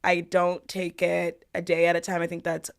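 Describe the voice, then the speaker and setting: A woman's voice: lowish voice, monotone, moderate pace, vocal fry. A woman from the Bahamas and Florida answering interview questions as a guest in a reflective, conversational way. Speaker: podcast guest, family law attorney.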